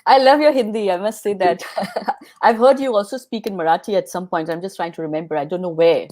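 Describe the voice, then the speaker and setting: A woman hosting an interview show. Her voice is mellow and mid-pitched. She speaks earnestly and now and then restarts a phrase. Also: a little fast. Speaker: host.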